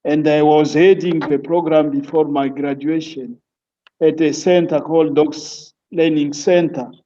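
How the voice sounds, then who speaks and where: Middle-aged male doctor, Congolese accent, slightly high but very mellow, measured interview answers, dull distant online call sound. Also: fairly even intonation, slight background noise. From Democratic Republic of the Congo. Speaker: family physician, interview guest. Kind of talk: recounting his career in an interview. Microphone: online call on a weak connection.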